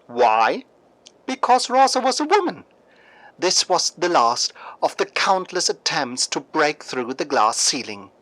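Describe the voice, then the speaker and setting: A storyteller of middling pitch hosts a radio drama. The delivery is theatrical and deliberate, with grand emphasis on key words. The sound is dry and close, with no room around it. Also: sing-song, fairly bright tone, no room sound, poor audio. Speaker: host and narrator of a fictional radio news show. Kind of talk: scripted dramatic storytelling, mock radio newscast.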